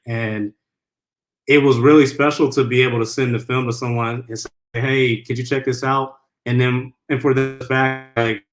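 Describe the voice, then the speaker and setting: A man's voice: medium pitch, fairly bright tone. Earnest man answering in a panel Q&A. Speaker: film director on a panel. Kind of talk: conversational Q&A answers.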